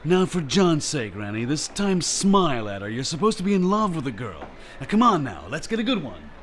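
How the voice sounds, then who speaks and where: A man plays a busy film director in a vintage-style radio play. The voice is fairly high and animated, with an offhand, bossy, coaxing way of giving instructions. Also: fairly quick, a little hiss or hum. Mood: impatient, coaxing. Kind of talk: old-time detective radio drama acting.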